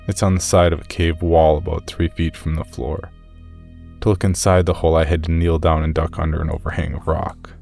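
A man's voice: sits low, creaky, dark tone. A man narrating a first-person journal as an audio story.

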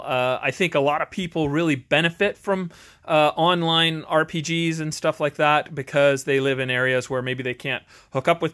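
A man's voice: on the high side, a bit bright. Middle-aged American man, casual and rambling. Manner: rambling, self-deprecating. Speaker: host, tabletop game reviewer. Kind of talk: unscripted casual review chat. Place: home game garage.

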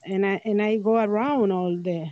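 A woman whose first language is Spanish speaks English with a Spanish accent on a video call, halting and searching for words. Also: medium pitch, fairly even intonation, no echo, highs cut off. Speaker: caller in a community meeting.

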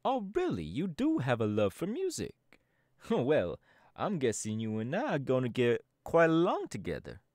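A man's voice: mid-range voice, huge pitch swings, a little slow, a little mellow, no room sound, studio quality. A man voice acting a laid-back young male DJ gang boss in an ASMR audio roleplay, talking in an easygoing, chatty drawl. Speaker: voice actor.

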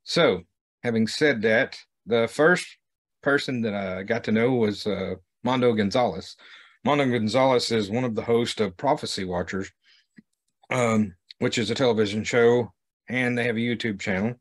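A middle-aged man talking to camera as a vlog host, in a halting, plain-spoken way. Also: medium pitch. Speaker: video series host and explorer.

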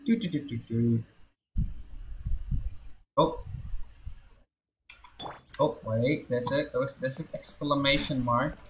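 A man's voice: mid-range voice, fairly even intonation, slow pace, long pauses. A young man streaming a game with casual, laid-back and slightly hesitant chat. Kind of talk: casual livestream gameplay chat. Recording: roomy echo, game music behind. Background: game music.

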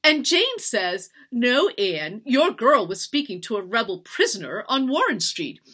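A woman voicing a haughty, cruel mistress of a household in a storybook reading, imperious and given to shrill, emphatic outbursts. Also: sing-song, measured pace, bright, forceful tone, breathy edge, full character voice. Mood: scandalized, mocking. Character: haughty, cruel, raging slave-owning mistress.

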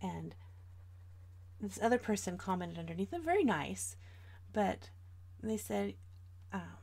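Female livestream host, about 40, American. Casual, chatty delivery direct to viewers, noticeably creaky tone. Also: medium pitch, big pitch swings, unhurried, darkish tone. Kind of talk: casual livestream chat while drawing.

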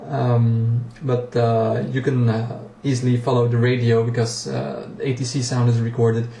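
A man whose mother tongue is Dutch gives a casual home-video walkthrough in a mellow, unhurried voice, pausing often on fillers and restarting his sentences. It was caught on an action camera in a small, echoey room with a faint hum behind. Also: medium pitch, flat delivery, vocal fry. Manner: hesitant, many fillers and restarts. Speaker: home cockpit builder and vlogger.

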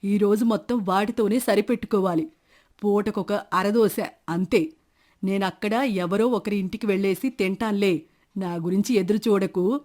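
Stingy, wheedling village freeloader played by a woman, mid-pitched, self-righteous, for a storybook tale. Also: moderate pace, darkish tone, close to an everyday voice. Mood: smug. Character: scheming stingy middle-aged village man, shameless freeloader. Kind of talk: dramatised moral story narration.

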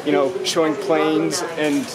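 A man's voice: a little high, level tone, measured pace, over a noisy crowd. Playful tired man vlogging to camera. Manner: playful, joking, talks to camera at arm's length. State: tired from travel. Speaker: family vlogger. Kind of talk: casual travel vlog. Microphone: camera at arm's length.

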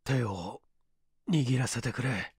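A man voicing a weak, gentle dying man with a hoarse, breathy voice in a story reading. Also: mid-range voice, no room sound, studio quality. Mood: grateful, weak. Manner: gasping, halting, faint.

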